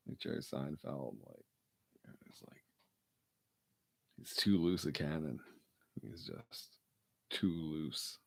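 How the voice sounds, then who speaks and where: A man with a gravelly baritone, rambling through a late-night solo broadcast with mumbled, self-deprecating asides. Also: darkish tone.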